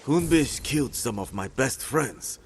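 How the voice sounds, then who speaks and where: An actor playing an earnest, gritty island fighter in a video game, plain-spoken. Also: male voice, medium pitch, lively intonation, measured pace, loud music behind the voice, close to an everyday voice. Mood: relieved, grateful. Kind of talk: video game drama acting.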